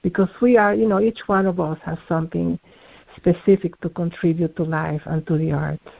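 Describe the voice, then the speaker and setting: A man from the Dominican Republic, an artist being interviewed, speaking in a measured, searching way and often restarting his words. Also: fairly high pitch, steady tone, gravelly. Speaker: artist guest. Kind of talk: reflective interview talk. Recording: tinny phone-line audio.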